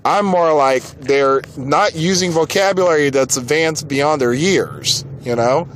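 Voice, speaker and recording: A man's voice: fairly high pitch, a little slow, fairly bright tone. An American man hosting a podcast, giving casual opinion commentary in an exasperated, informal and emphatic way. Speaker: podcast host. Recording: clearly noisy background.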